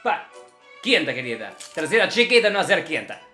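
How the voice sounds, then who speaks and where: A man's voice: a little high, big pitch swings, normal speed, pushed and bright. A man playing a strict, blunt, theatrical Russian ballet teacher in a comic commentary, with a Russian accent and broken grammar. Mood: exacting, blunt. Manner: broken Spanish with infinitive verbs, chanted counting syllables. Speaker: ballet teacher reviewing dancers' videos. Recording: roomy echo with faint music behind.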